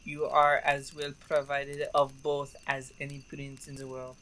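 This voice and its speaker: Young man as loyal French nobleman for radio Shakespeare. Crisp-edged, level voice, courtly and a little weary. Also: mid-range voice, completely flat delivery, measured pace, fairly bright tone, a little hiss or hum, natural voice. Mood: courtly, impatient. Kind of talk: radio theater Shakespeare acting.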